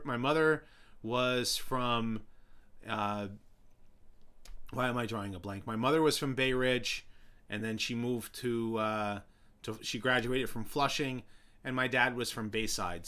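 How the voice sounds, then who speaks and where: A young man hosting a casual live stream, rambling with many fillers and restarts as he answers viewers, his voice getting hoarse. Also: mid-range voice, pushed and bright. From New York City.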